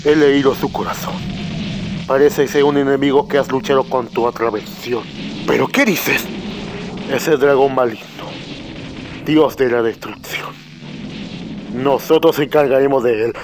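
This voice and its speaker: A man voicing intense, battle-hungry anime fighters in a dramatic Latin American Spanish fan dub, with a gravelly voice. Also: mid-range voice, fairly even intonation, measured pace, pauses often, lightly acted. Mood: grave, urgent. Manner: dramatic, forceful delivery. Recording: music behind the voice.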